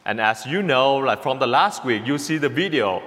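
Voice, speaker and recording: A man with a Vietnamese accent, a priest preaching a homily at Mass, who talks slowly, repeats words and often checks in with "okay?". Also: mid-range voice, animated. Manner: slow, repeats words, speaks to the congregation with a gentle "okay?". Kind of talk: sermon. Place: church. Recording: long church reverb.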